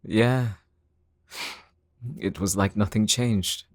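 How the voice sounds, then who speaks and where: A man's voice: on the low side, dry recording, clean studio sound. An actor playing an earnest, bashful young male demon hunter in an audio roleplay drama, speaking hesitantly. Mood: sheepish. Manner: hesitant, halting.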